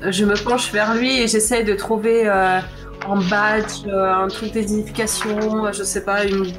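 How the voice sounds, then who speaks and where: A woman's voice: mid-range voice, flat delivery, unhurried, over music. Matter-of-fact woman playing a tabletop game. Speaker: player. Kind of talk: tabletop roleplay.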